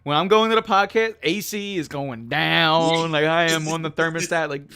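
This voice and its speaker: A man hosting a casual live stream from a quiet home room on a condenser mic, rambling and self-deprecating with frequent laughs. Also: a little high, moderate pace, smooth. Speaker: host of a live stream show. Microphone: USB condenser mic.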